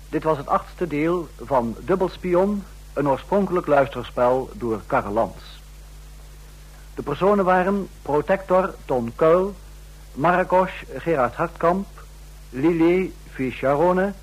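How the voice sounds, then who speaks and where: A man's voice: fairly high pitch, slightly rough, rough recording. A man reading a scripted announcement as a radio announcer.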